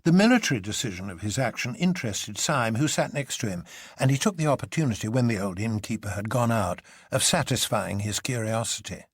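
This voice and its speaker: Guy reading an old novel like an audiobook, very animated pitch, slightly rough husky voice, no room sound. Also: a little fast, dry recording, studio quality. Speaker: narrator. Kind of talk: dramatic literary audiobook narration.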